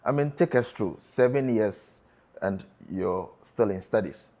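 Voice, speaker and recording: A man's voice: fairly low pitch, animated, measured pace, a little mellow. A man hosting a street-interview show, introducing it and asking questions with emphatic, repeated phrasing. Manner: emphatic, repeats lines for effect. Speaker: host and interviewer.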